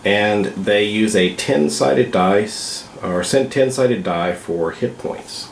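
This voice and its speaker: Slow, congested man in an echoey room. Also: on the low side, creaky, slight background noise. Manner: slow, hesitant, with many fillers. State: congested from a cold. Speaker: vlog host. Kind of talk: casual explanatory vlog.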